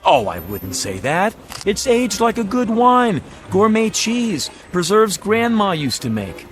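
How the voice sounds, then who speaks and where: A man's voice: sits high, wildly swooping pitch, unhurried, slight background noise, heavily acted. An actor playing a weary, earnest used car salesman in a radio drama, with a hesitant, folksy way of talking. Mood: eager, polite. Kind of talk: old-time radio drama acting.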